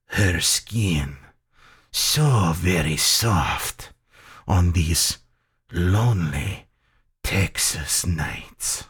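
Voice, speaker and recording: Man acting an oily, gloating general, slow and menacing. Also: mid-range voice, lively intonation, voice pushed up. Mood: oily, sultry. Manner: sultry, theatrical, deliberate.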